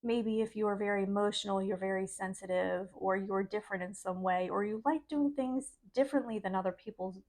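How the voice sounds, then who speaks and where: A middle-aged woman with a soft voice, talking earnestly and casually to camera as a spiritual vlogger. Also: mid-range voice, brisk, hardly any pauses. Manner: earnest, chatty, laughs between thoughts.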